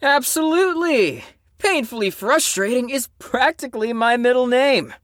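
A woman's voice: high voice, animated, normal speed, no room sound, studio quality. A woman playing a sardonic, teasing, brash young troublemaker in an audio drama.

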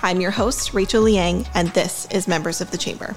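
A woman's voice: fairly low pitch, steady tone, normal speed. Woman, podcast host, upbeat polished delivery, faint music underneath. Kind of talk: scripted intro and interview questions.

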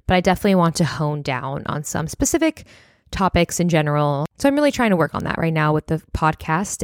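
Slightly hoarse, low-voiced woman podcaster. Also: no room sound, clean studio sound. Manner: chatty, many fillers like "like". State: slightly hoarse, voice near breaking. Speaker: podcast host. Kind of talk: casual solo podcast talk.

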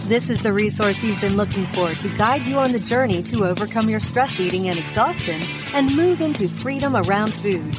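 Woman announcer over loud music. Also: medium pitch, moderate pace, few pauses. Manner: polished, even announcing. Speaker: show announcer. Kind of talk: scripted podcast intro.

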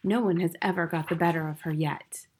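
A woman voicing a gentle female schoolteacher in a storybook, calm, polite and measured. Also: lowish voice, husky. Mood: calm, advising. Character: gentle, reasonable young female primary school teacher.

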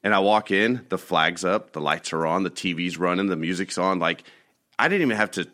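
A man's voice: sits low, brisk, bright, forceful tone. A man hosting a podcast, teasing his guests and steering the conversation back on track. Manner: teasing, wisecracking, steers the talk. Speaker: podcast host. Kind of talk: casual chat.

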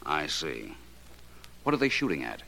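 An actor playing a suave, unflappable private detective in a 1940s radio drama, speaking with polished, dry understatement. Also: male voice, extremely low pitch, wildly swooping pitch, normal speed, creaky, sharp tone, full character voice. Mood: dry, unruffled.

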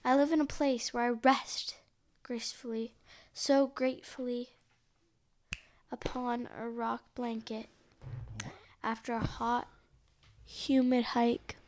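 Very slow-reading, higher-voiced woman. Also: little pitch movement, darkish tone. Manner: halting, repeats and stumbles over words. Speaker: host of a read-along. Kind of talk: reading a picture book aloud.

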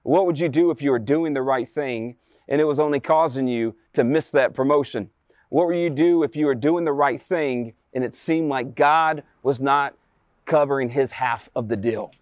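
A man's voice: medium pitch, moderate pace, no room sound. A man preaching a sermon, retelling a Bible story dramatically with rhetorical questions and emphatic repetition.

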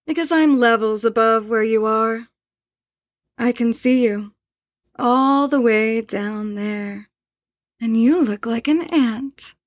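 A woman's voice: on the high side, slow pace. An actress playing a commanding, taunting spirit woman who haunts a manor in a horror audio drama, with an insinuating manner. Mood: taunting, superior. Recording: voice over prominent background music.

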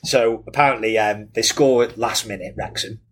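A man hosting a casual sports podcast, telling stories in a lively, animated way. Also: on the low side, moderate pace. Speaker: podcast host.

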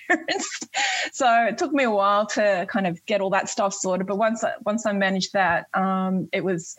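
A woman's voice: medium pitch, no room sound. An Australian woman in her late forties talking conversationally as an interview guest, in a chatty, rambling way. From northwest Tasmania, Australia. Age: late 40s. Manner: chatty, rambling, frequent "you know". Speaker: interview guest, Air Force member. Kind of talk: conversational interview, recounting career.

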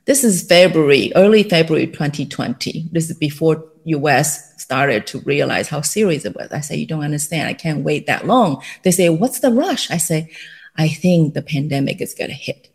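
A woman's voice: sits low, lively intonation, moderate pace. A middle-aged woman with a Taiwanese accent, telling her story as a guest in an interview, with many fillers and light laughs. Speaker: guest, company founder. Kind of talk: casual interview.

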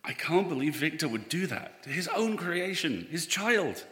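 A man's voice: a little high, normal speed. An actor playing an earnest, sentimental man in a live audio drama, speaking with open emotion. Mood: warmly animated. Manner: emotional, expressive. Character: earnest, sentimental man, attached to his ship friend. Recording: echoey live hall, reverberant.

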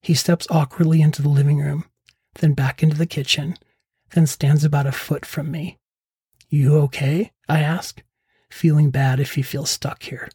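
A middle-aged man with a husky voice narrating a scripted first-person memoir as a podcast host. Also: on the high side, level tone, normal speed, mellow, dry recording. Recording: close dry voice over faint music.

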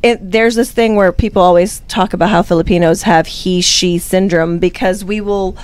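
A woman's voice: fairly low pitch, steady tone, moderate pace, clean tone, no room sound. A woman whose first language is Tagalog, a podcast guest talking candidly and earnestly with frequent fillers. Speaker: guest, streamer. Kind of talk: casual podcast chat.

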